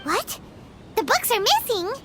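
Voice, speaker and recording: A woman voicing a small, excitable childlike female companion in a video game, blunt and exclamatory with a squeaky voice. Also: measured pace, very noisy, heavily acted. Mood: startled. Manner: exclamatory, blunt, refers to self in third person. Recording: music playing loudly behind.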